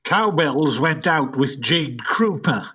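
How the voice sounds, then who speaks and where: Radio comedy dad: male actor as eccentric jazzman in his sixties, raspy and lively. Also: a little high, slow pace, full character voice. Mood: jaunty. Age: 60s. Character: eccentric sixty-year-old jazzman father, battered but cheerful. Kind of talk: comedic radio play acting.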